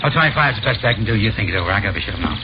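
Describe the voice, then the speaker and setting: An actor playing a blunt, stubborn gardener in a 1950s radio drama, with a curt colloquial way of talking. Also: male voice, lowish voice, lively intonation, very fast, natural voice. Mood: haggling. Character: blunt, stubborn working-class male gardener, cagey. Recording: muffled vintage radio recording, clear background noise.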